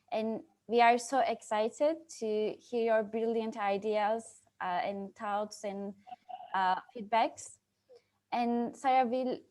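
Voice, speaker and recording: Brief: young woman from Turkey, youth moderator on a video call, slightly high voice, halting with lots of ums. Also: a little mellow. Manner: hesitant, many fillers and restarts. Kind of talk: hosting an online breakout session.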